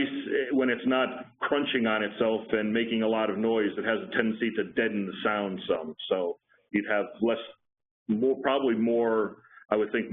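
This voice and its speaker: A man giving a technical lecture on a conference call, talking with hesitant restarts and fillers. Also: lowish voice. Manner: hesitant, many restarts, self-deprecating. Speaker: presenter on marine acoustics. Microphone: phone line. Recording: tinny phone line, faint noise.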